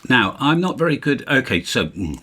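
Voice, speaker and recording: A man hosting a casual software try-out, reacting in short bursts, repeating words and muttering to himself. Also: medium pitch, sing-song, normal speed, husky. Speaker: host trying out software. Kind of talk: casual chat while following a demonstration.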